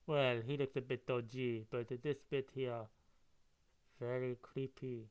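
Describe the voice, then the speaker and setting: Clear-voiced man musing in casual asides. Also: mid-range voice, little pitch movement, a little slow, a little mellow. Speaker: comic book reader and reviewer. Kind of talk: casual read-along with running commentary.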